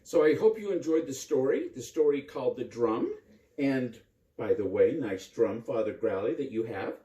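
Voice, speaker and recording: A man who is a priest telling a bedtime story to children in simple, gentle phrasing. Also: mid-range voice, animated, moderate pace, recorded from a distance, highs cut off. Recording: roomy echo with faint music.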